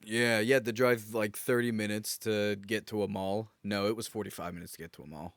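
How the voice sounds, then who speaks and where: A man's voice: on the low side, steady tone, brisk, clean tone, dry recording. An American man chatting casually as a podcast co-host, in a bantering style.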